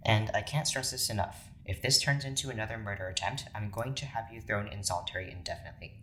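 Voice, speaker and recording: An actor playing a stern, cautious doctor in an audio drama, speaking formally with dry, measured authority. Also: mid-range voice, fairly bright tone, a little hiss or hum, close to an everyday voice. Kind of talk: scripted audio drama acting.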